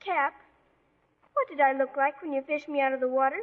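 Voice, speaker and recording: A plucky eleven-year-old orphan girl acted by a female performer in a vintage radio drama. Her voice is extremely high, and she is pert and affectionate. The recording sounds dull and old. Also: brisk, natural voice. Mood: playful, curious. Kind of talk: 1940s radio acting.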